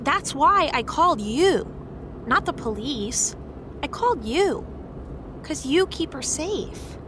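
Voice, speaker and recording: A high-pitched, awkward schoolgirl. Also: sing-song, takes it slowly, background noise, full character voice. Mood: earnest. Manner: stammering, awkward. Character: worried, blunt, awkward schoolgirl. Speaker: actor in a scripted audio drama. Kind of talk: naturalistic audio drama acting.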